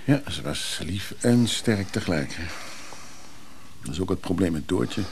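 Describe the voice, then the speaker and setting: An actor playing a grumbling, reluctant lover in a Dutch radio play, with a blunt, grudging way of talking. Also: male voice, low-pitched, animated, unhurried, darkish tone, a little hiss or hum. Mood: gloomy, fond. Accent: Netherlands Dutch. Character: grumbling, reluctant male lover, Freek Keverling.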